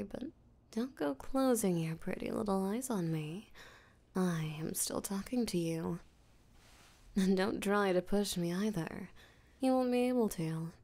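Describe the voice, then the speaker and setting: Slow, creaky, seductive vampire voiced by a woman. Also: medium pitch, mellow. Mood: mock concern, smug. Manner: breathy, teasing, flirtatious, slow and deliberate. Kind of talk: intimate roleplay audio drama, spoken to the listener.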